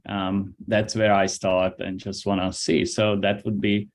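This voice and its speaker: A man, a business advisor and professor, speaking in a measured way with frequent fillers in a casual interview. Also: lowish voice, vocal fry, a little mellow. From Hungary. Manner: measured, hesitant with many fillers.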